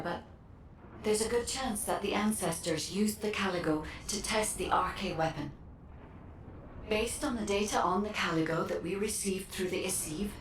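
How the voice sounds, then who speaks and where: Woman playing a careful precise game scientist, lowish voice, sounds far off and echoey. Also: slight background noise, lightly acted. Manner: measured, precise. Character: analytical, cautious female scientist. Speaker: researcher. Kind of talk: video game voice acting. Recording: echoey, distant, with background ambience.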